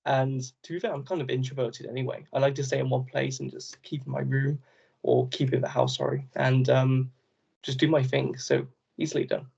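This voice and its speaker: A man chatting casually as an interview guest, talking in a rambling, animated way with lots of restarts. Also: medium pitch, brisk, gravelly, breathy edge, dull audio. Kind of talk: casual chat.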